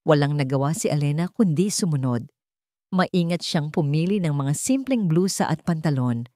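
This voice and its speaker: A woman narrating a romance story in a dramatic, expressive reading style. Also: sits low, normal speed, no echo.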